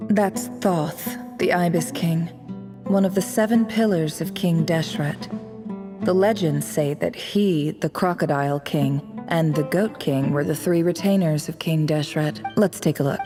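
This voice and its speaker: Composed desert crime boss, low-pitched, measured, proud, dry teasing, video game dialogue, music underneath. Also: female voice. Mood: explaining. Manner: knowing, dryly teasing, unhurried. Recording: music playing behind the voice.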